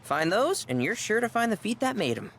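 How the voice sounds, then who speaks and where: A cocky young ranch boy in a video game, with a Southern American twang. He haggles in a folksy, businesslike way, his pitch bouncing around. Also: big pitch swings, a little fast, fairly bright tone, slight background noise. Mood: instructive. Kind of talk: video game cutscene acting.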